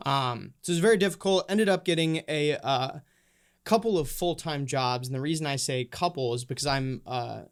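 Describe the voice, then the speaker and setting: A man's voice: mid-range voice, moderate pace, clear, resonant tone. A young man on a podcast telling his own story in casual chat, self-deprecating, with plenty of ums and likes. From Minnesota and Honduras. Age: young adult. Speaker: podcast co-host and guest.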